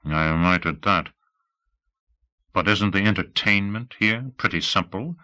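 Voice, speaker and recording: A male narrator voicing a cautious, dryly amused rich man who asks measured questions in an audiobook. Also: low voice, bright, forceful tone, no room sound, full character voice. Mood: wary. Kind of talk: audiobook character voice.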